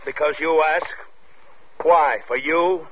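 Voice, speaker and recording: Paranoid husband losing his mind, highish voice, agitated and repetitive. Vintage radio play, tinny sound. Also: slow, breathy edge. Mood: suspicious, agitated. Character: paranoid, unstable husband sinking into madness. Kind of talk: old-time radio drama acting.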